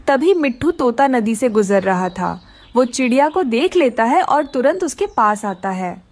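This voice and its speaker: A woman's voice: a little high, big pitch swings, a little slow. A woman narrating a scripted children's story.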